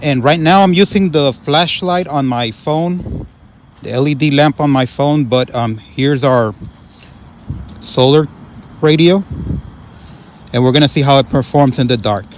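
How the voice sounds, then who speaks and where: A man's voice: medium pitch, unhurried, long pauses, a little hiss or hum. A man giving a casual, unscripted hands-on demonstration as a product reviewer, narrating each step and often repeating himself.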